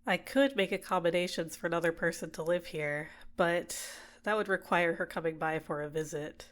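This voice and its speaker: Possessive female villain for ASMR roleplay, played by a woman: lowish voice, soft, coaxing, manipulative, tenderly doting. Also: normal speed, natural voice. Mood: coolly manipulative. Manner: soft-spoken, teasing, drops into whispers. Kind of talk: ASMR roleplay, intimate personal attention.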